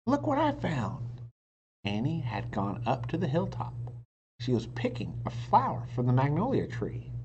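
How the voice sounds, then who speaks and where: A man reading a children's book aloud in an expressive narrating style. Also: mid-range voice, moderate pace. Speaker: storybook reader. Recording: dull sound, faint noise.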